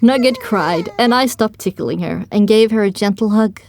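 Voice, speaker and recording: A woman's voice: mid-range voice, normal speed, gravelly, a little mellow. A woman reading first-person dramatic story narration for an audio drama.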